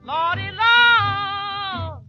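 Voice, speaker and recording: A woman singing a mournful blues-folk song at a slow pace over string accompaniment. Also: very high-pitched. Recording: dull lo-fi music recording.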